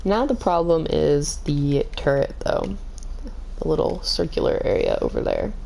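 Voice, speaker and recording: Woman game streamer, slightly hoarse and scratchy, calm and low, dark gravelly tone. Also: measured pace. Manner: calm, kept in her lower range. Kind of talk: casual gaming stream chat.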